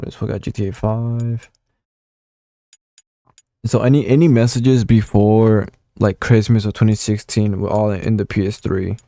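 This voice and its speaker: A man's voice: on the low side, mellow, no echo, clean studio sound. A young man of about nineteen, rambling casually with lots of fillers and the odd swear as he looks back through old console messages. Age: young adult. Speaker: gaming vlogger. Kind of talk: casual reminiscing commentary.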